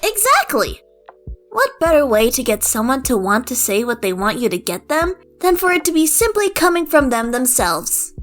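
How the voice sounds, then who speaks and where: A woman's voice: high-pitched, big pitch swings, moderate pace, faint music behind, pitched above the performer's own voice. A woman voicing a playful, cheeky male bard with a teasing manner in an audio roleplay. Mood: sly.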